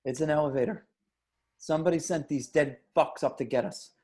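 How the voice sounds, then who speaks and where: A man's voice: on the high side, a little fast, mellow, lightly acted. A man playing a scrappy young stoner hero in an amateur screenplay table read, casual, slangy and wry. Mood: tense, explaining.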